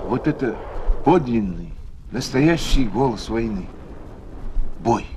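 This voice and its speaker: A man's voice: medium pitch, animated, slow pace, background noise. A man delivers solemn, dramatic scripted documentary narration for children, with emphatic rhetorical phrasing.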